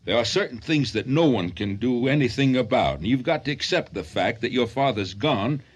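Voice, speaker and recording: An actor playing a middle-aged businessman and suitor, persistent and measured, reasoning persuasively in a radio drama. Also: mid-range voice, expressive, slightly rough. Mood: patient, firm.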